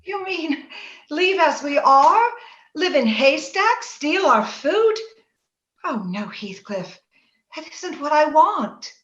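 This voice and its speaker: A high, unhurried girlish voice, played by a woman, pleading and dreamy in old-time radio style. She sounds earnest and willful, recorded in an echoey room over a slightly muffled link. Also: very animated, a bit bright, full character voice. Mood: doubtful. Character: willful, pleading young girl, dreamy and romantic. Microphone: remote video call. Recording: roomy, muffled home video-call recording.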